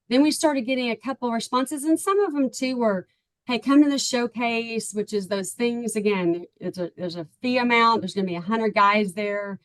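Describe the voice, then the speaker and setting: A woman's voice: medium pitch, no echo, low-quality recording. A middle-aged woman with a Texan accent, telling her story as an interview guest in a chatty, earnest way, full of ums and "right?". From Texas, USA. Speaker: parent of a baseball player, client guest.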